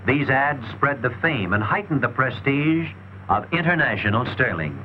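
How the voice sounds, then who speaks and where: A man's voice: medium pitch, expressive, normal speed, lo-fi sound, a little hiss or hum. A man delivering scripted 1940s promotional film narration in an emphatic, salesmanlike, rhetorical manner, on an old film soundtrack.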